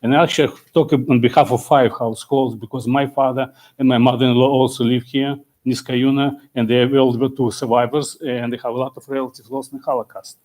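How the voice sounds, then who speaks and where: A man's voice: medium pitch, level tone, brisk, hardly any pauses, a little mellow. Older man with a former-Soviet accent. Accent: former Soviet Union. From Soviet Union. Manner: earnest, plainspoken, with non-native grammar. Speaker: resident giving public comment. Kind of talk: prepared public comment at town meeting. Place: town hall meeting room.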